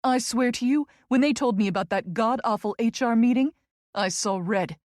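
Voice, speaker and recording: A woman performs a commanding female senior boss from an audiobook novel. She is smooth-voiced, dramatic and fiercely protective, speaking with strong emphasis in a dry, close recording. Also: fairly high pitch, moderate pace, a little mellow, no room sound, natural voice. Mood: indignant. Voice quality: smooth alto.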